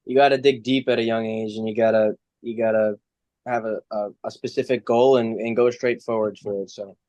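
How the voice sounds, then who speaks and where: A man's voice: lowish voice, steady tone, a little fast, dark tone, no room sound. A man of nineteen talking casually and informally as a racing driver being interviewed, with some slang. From United States.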